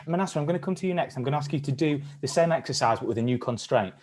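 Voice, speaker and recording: A man's voice: on the high side, racing, a little mellow, slight background noise. A man leading an online acting workshop, encouraging and upbeat as he coaches the participants. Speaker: workshop leader. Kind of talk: live-streamed acting workshop.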